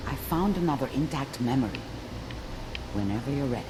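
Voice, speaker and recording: A woman playing a sympathetic, calm scientist in a video game, speaking gently and in a measured way. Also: extremely low pitch. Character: calm, sympathetic female scientist guiding a patient. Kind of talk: game voice acting. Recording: loud noise behind the voice.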